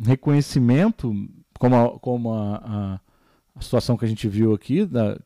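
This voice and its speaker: A man's voice: mid-range voice, mellow, dry recording, studio quality. A man with a Brazilian Portuguese accent, teaching a recorded geography lesson and explaining step by step, with many fillers and tag questions.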